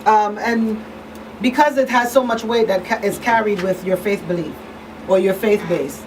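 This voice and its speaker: A woman's voice: medium pitch, moderate pace. Woman hosting, distant and noisy recording. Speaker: talk show host. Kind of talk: casual panel talk. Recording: distant mic, clearly noisy room.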